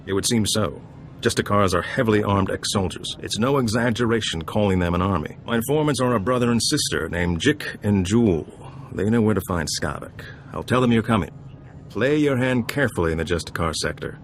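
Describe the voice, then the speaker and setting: An actor playing a suave, calm information broker in a video game, speaking in a measured, wry and courteous way. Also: male voice, lowish voice, slight background noise. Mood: serious, measured.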